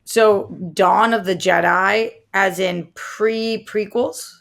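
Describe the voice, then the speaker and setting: Creaky-voiced American woman co-hosting a podcast. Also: mid-range voice, steady tone, slow, fairly bright tone. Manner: informal, inquisitive. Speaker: podcast co-host. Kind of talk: casual entertainment news chat.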